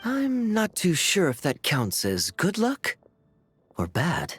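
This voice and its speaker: A man's voice: a little high, huge pitch swings, slow, exaggerated voice. A man playing a suave, sly young gambler in a video game, with a playful, wry way of talking. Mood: wry, teasing.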